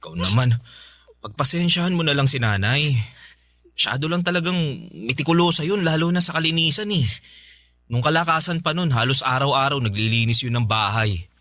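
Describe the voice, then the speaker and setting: Peacemaker young husband, radio drama: bright voice, pleading, conciliatory, close dry sound. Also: male voice, mid-range voice, expressive, fairly quick, dry recording. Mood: soothing. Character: placating young husband caught between mother and partner. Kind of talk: Filipino radio drama acting. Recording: dry studio, music bed behind.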